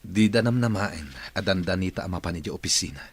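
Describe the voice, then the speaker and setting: An actor playing an ailing wealthy boss in a radio drama, guarded and decisive, with a husky voice. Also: male voice, low-pitched, fast talker.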